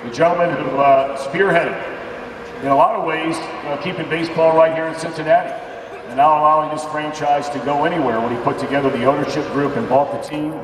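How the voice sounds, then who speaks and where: A man's voice: on the high side, fairly even intonation, fairly quick. A man emceeing a fan event, introducing a panel with upbeat, rallying announcer energy, recorded from the audience in a large museum hangar. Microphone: distant camera in the audience. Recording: roomy hangar echo, far from mic, crowd noise.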